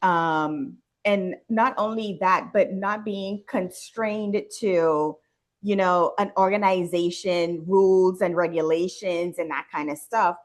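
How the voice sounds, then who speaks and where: Woman, forties, from the Bahamas, interview guest, lowish voice, slow conversational storytelling. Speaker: interview guest, wellness business owner and nurse.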